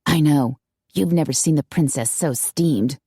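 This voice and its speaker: A woman's voice: sits low, unhurried, dark tone, husky, no room sound, full character voice. A woman voicing a sardonic, dramatic young female gossip with a dry drawl in an audiobook story. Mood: deadpan.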